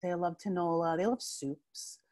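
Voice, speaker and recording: A woman's voice: on the low side, moderate pace, a little mellow. Woman in her forties, guest on a home video call, earbud mic, talkative, laughs a lot, lots of fillers. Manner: chatty, laughs often, many fillers and restarts. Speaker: interview guest, writer. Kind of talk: casual video call conversation. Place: home room with bookshelves. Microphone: wired earbuds on a video call.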